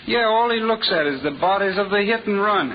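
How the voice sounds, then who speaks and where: A man's voice: high-pitched, a little fast, muffled recording. A man playing a working-class morgue attendant in an old radio drama, talking in loose, rambling slang on a noisy old recording. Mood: casual, chatty.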